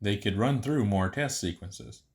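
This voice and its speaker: A man's voice: on the low side, a little slow, a little mellow. A man reading scripted fiction narration as the narrator of an audiobook story.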